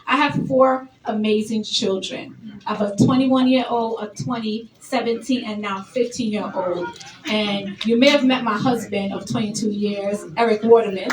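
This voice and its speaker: Lively woman speaking in an echoey hall. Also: a little high. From Brooklyn, New York. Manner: lively, energetic, engaging the audience. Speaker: elected official. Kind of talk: public speech at an event. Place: event hall. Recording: roomy, distant, noisy event hall.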